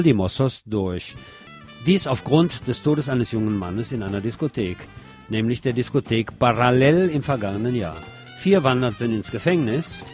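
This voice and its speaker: A man's voice: mid-range voice, darkish tone. Male radio news presenter over music. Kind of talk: scripted news reading with informal asides.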